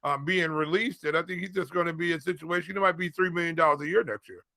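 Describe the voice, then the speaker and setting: A man's voice: fairly high pitch, steady tone, sharp tone, no room sound, clean studio sound. An American man chatting casually as a fantasy football analyst, often repeating words as he works through his thoughts. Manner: halting, repeats words and phrases. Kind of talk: casual sports chat.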